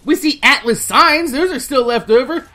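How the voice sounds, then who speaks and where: A woman hosting a gaming video, giving casual, animated news commentary with informal talk and plenty of swearing. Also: high voice, normal speed.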